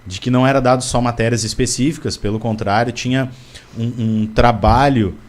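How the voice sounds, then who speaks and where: A man's voice: mid-range voice, fairly even intonation, a little fast. Hesitant Brazilian male interviewer. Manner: recalls a past visit, long rambling question with hesitations. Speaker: newspaper interviewer. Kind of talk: interview questions.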